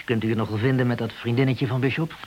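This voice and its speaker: An actor playing an eager, easygoing junior police officer in a radio drama, informal and obliging. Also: male voice, medium pitch, fairly even intonation, quick pace, darkish tone, close to an everyday voice. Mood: matter-of-fact. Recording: dull, noisy old radio play recording.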